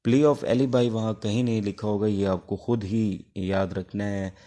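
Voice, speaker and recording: Pakistani guy teaching a class, deep soft-edged mellow voice, explains stuff with lots of pauses. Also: little pitch movement. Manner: explanatory, halting with pauses between phrases. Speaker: law teacher. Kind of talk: informal lecture explaining exam material.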